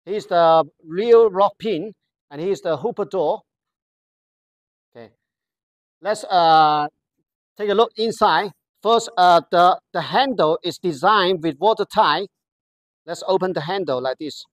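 A presenter gives a product walkthrough in careful, halting, non-native English, pausing often to find words. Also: medium pitch, no echo. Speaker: product demonstrator.

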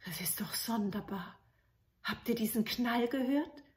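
A woman's voice: a little high, unhurried, darkish tone, natural voice. A woman voicing a timid, uneasy youngest princess in a storybook tale, anxious and wondering.

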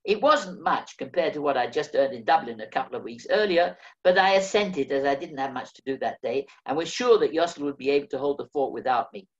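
A man's voice: on the high side, animated, a little fast, hardly any pauses, dull audio. A man, reading his own memoir aloud over a video call with dry, wry humor. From England. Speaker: memoir reader.

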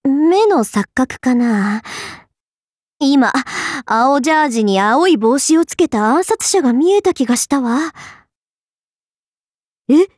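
A young goddess swordswoman for an anime game, high-voiced and unhurried. She is cool and proud, slipping into muttered, flustered asides. The sound is dry and studio-close. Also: animated, darkish tone, no echo. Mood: wry, surprised. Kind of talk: anime game character voice lines.

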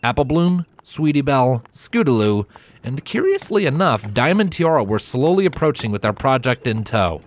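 A man's voice: mid-range voice, very animated, moderate pace. A man narrating a scripted story as an audiobook-style reader.

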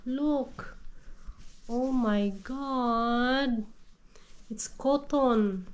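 A woman in her thirties with a cold, gushing over items she unboxes in her living room. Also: high-pitched. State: has a cold. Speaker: haul vlogger. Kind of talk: casual product haul. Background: crinkling packaging.

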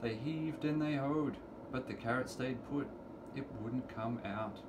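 A middle-aged man with a medium-pitched voice reads a picture book aloud for small children. His phrasing is deliberate and sing-song. The home recording has clear background noise. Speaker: children's story reader. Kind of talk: read-aloud picture book storytelling for preschoolers. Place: indoors in a room at home. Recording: clearly noisy home recording, room ambience.

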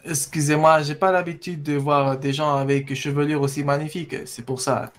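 A man's voice: on the high side, flat delivery, moderate pace, natural voice. A man playing a poor middle-aged immigrant woodsman in a roleplay game, blunt, haggling and cheeky. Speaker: roleplay player. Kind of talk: in-character roleplay.

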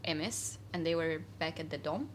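A woman's voice: deep voice, moderate pace, a little hiss or hum. A young woman from Syria, a guest in a casual interview, talking earnestly with many fillers and restarts in a cafe. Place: cafe, indoors.

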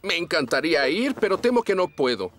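A friendly, dutiful firefighter from a children's cartoon with a Latin American accent. His voice sits fairly high and moves animatedly as he instructs and reassures. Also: very animated, brisk, fairly bright tone. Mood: helpful, earnest. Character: friendly, dutiful adult male firefighter, safety-minded. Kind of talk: animated children's series dub.

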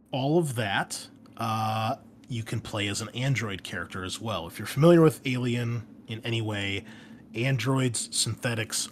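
A man talking as a host and game master, explaining tabletop game rules informally and stressing key words. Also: fairly low pitch. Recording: noticeable background noise.

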